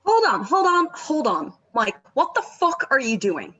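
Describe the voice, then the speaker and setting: A woman's voice: high-pitched, big pitch swings, measured pace, bright, forceful tone, dull audio, exaggerated voice. A woman playing a bossy, short-tempered singer who leads a family band, scolding imperiously in a script read-through. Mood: irritated, scolding.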